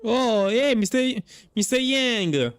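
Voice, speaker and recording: A streamer with a smooth, clear voice comments casually on a game. The pace is slow and hesitant, with words repeated while thinking aloud. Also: medium pitch, animated, dry recording. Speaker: gaming streamer.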